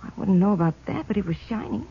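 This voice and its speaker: An actress playing a shaken American crime witness in a radio drama, speaking plainly in a hesitant, nervous way. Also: female voice, medium pitch, animated, husky. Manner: halting, nervous, plain-spoken. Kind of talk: 1950s radio drama acting. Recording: muffled, noisy old-time radio.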